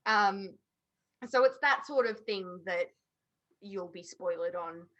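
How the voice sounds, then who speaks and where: A woman's voice: medium pitch, takes it slowly, pushed and bright, highs cut off. A woman on a podcast panel giving blunt, emphatic opinions in casual conversation.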